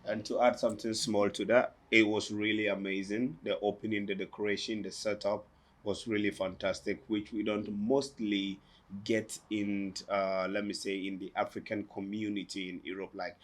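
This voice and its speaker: A man's voice: lowish voice, level tone, moderate pace. A man hosting an interview in a shop, chatty and enthusiastic, often repeating his questions. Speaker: interviewer, host. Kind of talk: casual interview. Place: boutique, indoors.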